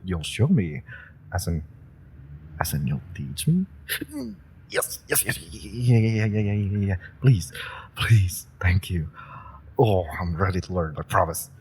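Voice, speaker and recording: A man's voice: low-pitched, darkish tone, slightly rough, full character voice. A man voicing an eager, nervous young rookie villain who stammers and hesitates, in an audio roleplay. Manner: hesitant, stammering, many fillers. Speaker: listener roleplay actor.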